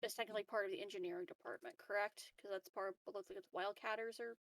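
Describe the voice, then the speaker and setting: A woman's voice: mid-range voice, steady tone, fast talker, no echo. A woman playing a tabletop roleplaying game, chatting and improvising informally as she thinks aloud. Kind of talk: casual chat and improvised roleplay.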